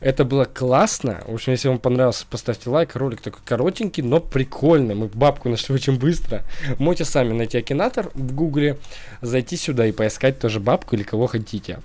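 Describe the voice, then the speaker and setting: A man's voice: mid-range voice, animated, quick pace, darkish tone. A young man, a gaming YouTuber, chatting casually over a screen recording, joking and laughing often. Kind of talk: casual let's-play commentary.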